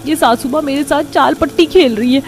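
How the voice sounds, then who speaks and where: A woman's voice: high-pitched, a little fast, pitched above the performer's own voice. A woman voicing a young, scheming daughter-in-law in a cartoon story, complaining in an exasperated, whiny way. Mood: resentful. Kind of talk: Hindi cartoon story acting.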